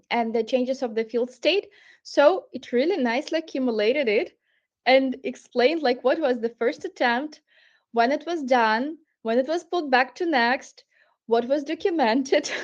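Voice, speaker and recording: Higher-pitched Polish woman demoing software. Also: lo-fi sound. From Poland. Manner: chatty, loose phrasing with many fillers. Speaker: product presenter. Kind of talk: casual product walkthrough.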